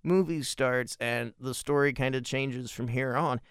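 A man's voice: medium pitch, steady tone, measured pace, fairly bright tone, smooth, dry recording, studio quality. A man hosting a podcast, telling personal stories and opinions in an emphatic, profane way. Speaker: podcast host. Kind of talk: spoken podcast commentary and personal anecdote.

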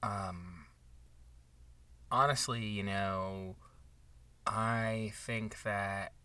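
An awkward, heartfelt man co-hosts a mock book podcast. His delivery is hesitant and slow, with a sharp, bright tone, in a natural improv comedy style. The recording is dry and slightly distant from the mic. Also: on the low side, fairly even intonation, smooth, no echo, exaggerated voice. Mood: wistful, halting. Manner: halting, hesitant, sincere. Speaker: podcast co-host.

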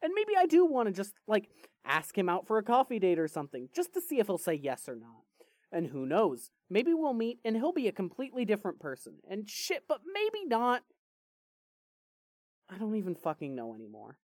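A woman playing a grieving, foul-mouthed, defensive young man in an audio drama, with halting, vulnerable delivery. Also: mid-range voice, wildly swooping pitch, darkish tone, no room sound. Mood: bitter, frustrated. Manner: halting, swearing, raw and vulnerable. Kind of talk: fan fiction audio drama acting.

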